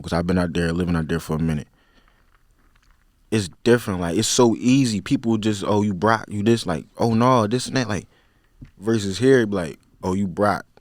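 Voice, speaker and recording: A young man in his twenties speaks with a Philadelphia accent in a fairly low voice. He is a podcast guest who talks in a loose, rambling way, full of slang and fillers. The sound is dry and close, with no room echo. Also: normal speed. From Philadelphia, USA. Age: 20s. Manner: laid-back, slang-heavy, rambling with many fillers. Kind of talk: casual interview chat.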